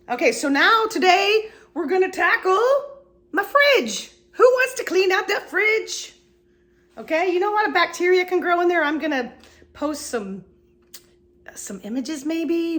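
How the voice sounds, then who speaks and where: A woman's voice: very high voice, big pitch swings, a little slow, fairly bright tone. A middle-aged woman with a stuffy cold, rambling casually and poking fun at herself in a home kitchen vlog. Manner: chatty, rambling, self-deprecating. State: congested with a cold. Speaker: vlogger. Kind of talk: casual chatty cleaning vlog.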